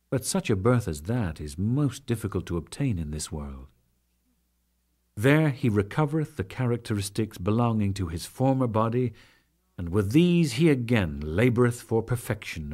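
A man narrating sacred scripture as a dramatic reading, solemn and declamatory, in measured phrases with deliberate pauses. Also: medium pitch, a little mellow. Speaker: audiobook narrator.

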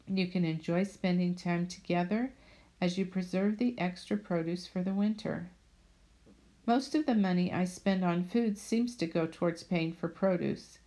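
A woman narrating a voice-over about her home garden in a steady, plainly read manner. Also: lowish voice. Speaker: budget vlogger.

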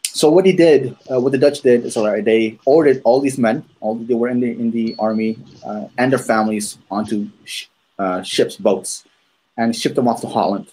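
A man in his thirties from Maluku, hosting a podcast over a video call, telling his story at an unhurried pace with many ums and restarts. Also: medium pitch, dark tone, slightly rough, rough recording. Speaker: podcast host. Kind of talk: casual conversational storytelling. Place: room at home, on a video call. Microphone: earphones with a desk microphone.

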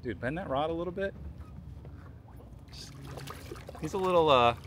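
A man's voice: on the high side, expressive, moderate pace, fairly bright tone, a little hiss or hum. A man guiding a fishing charter from a boat, hyped and laughing as fish come in, swearing now and then. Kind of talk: excited on-the-water banter. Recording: music playing behind voice.

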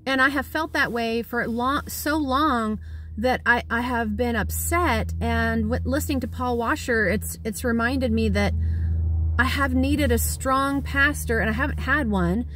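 Woman in her 40s, phone vlog from her car, tired, earnest, rambling, slightly high voice. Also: measured pace, a bit bright, a little hiss or hum. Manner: rambling, heartfelt, many restarts and fillers. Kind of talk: casual talk to camera. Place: parked car interior. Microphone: phone at arm's length.

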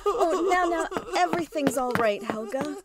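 An actress playing an anxious, gentle professor's wife, halting and fearful, in an old-style radio drama. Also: female voice, high voice, expressive, heavy background noise. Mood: soothing. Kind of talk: retro 1930s radio drama acting.

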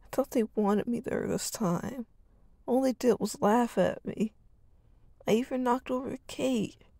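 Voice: measured pace, gravelly, dark tone, dry recording. A storyteller voicing a whiny, hurt teenage boy who pleads with his older brother. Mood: whiny, pleading. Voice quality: whining, high and pleading.

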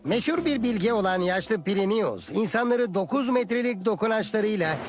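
An actor playing a kindly elderly teacher who explains history to children in a dubbed educational cartoon. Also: male voice, high voice, expressive, moderate pace. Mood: patient, instructive. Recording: loud music behind the voice.

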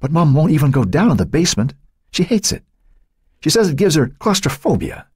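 A scared, protective teenage boy, acted by a male narrator in a suspense audiobook. The voice is breathy and hushed, lifted well up in pitch, and it falters as it goes. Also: very animated, brisk, dry recording, clean studio sound, full character voice. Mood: urgent. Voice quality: breathy, whispery. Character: frightened teenage boy, protective, trapped in darkness. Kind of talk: audiobook character acting.

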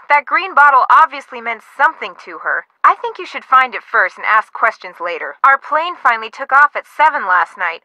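An actress playing a detective's chatty young best friend in a video game, expressive, teasing and wary. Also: female voice, fairly high pitch, no room sound, rough recording.

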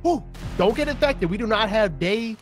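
A young man trading excitable, goofy banter while playing a game with friends. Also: high-pitched, normal speed, soft background music. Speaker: co-player in gaming video. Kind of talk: playful gameplay banter.

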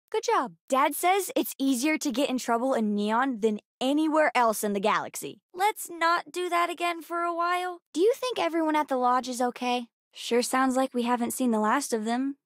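Space-game kid: high-pitched, earnest, inquisitive girl with a cheeky streak, recorded dry. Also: no room sound. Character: bright, curious, bookish young girl aboard a spaceship. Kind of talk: video game character voice lines.

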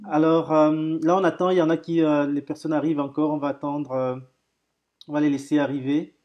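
A man's voice: fairly high pitch, level tone, fairly quick, highs cut off. A man in his thirties hosting a live video call, cheerful and hesitant, stumbling over repeated words and fillers. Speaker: host. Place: home office room.

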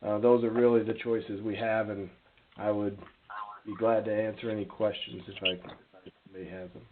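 A man's voice: lowish voice, flat delivery, normal speed. A man giving a formal, deferential report as a town official on a conference call. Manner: deferential, courteous. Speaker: town staff official presenting to council. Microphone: telephone. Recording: tinny phone line with faint noise.

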